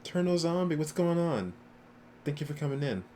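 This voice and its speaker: A man's voice: fairly high pitch, animated, brisk, a little mellow. A man livestreaming a game, chatting casually with viewers and commenting as he plays.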